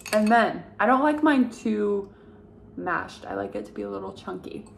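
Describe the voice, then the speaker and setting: A young woman talks straight to the camera in a home kitchen, upbeat and gushing as she chats about food. Her voice sits at an ordinary middle pitch. Faint kitchen sounds stay behind her. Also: wildly swooping pitch, normal speed, vocal fry, a little hiss or hum. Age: young adult. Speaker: lifestyle vlogger. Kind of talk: casual chat to camera.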